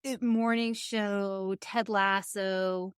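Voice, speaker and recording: A woman's voice: mid-range voice, little pitch movement, drawn-out words, clear, resonant tone, no echo, clean studio sound. A woman hosting a podcast, chatting casually as she interviews a guest.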